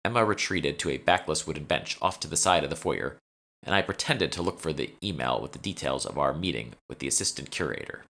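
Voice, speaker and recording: A man with a deep voice narrates a first-person audiobook. His tone is bright and forward, in the manner of an author reading his own story. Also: animated, a little fast, gravelly.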